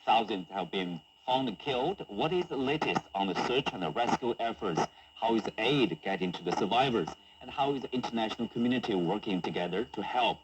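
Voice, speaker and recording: Male talk show host, low voice, news interview delivery, humming shortwave radio reception. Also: animated, fairly quick, few pauses, slight background noise, low-quality recording.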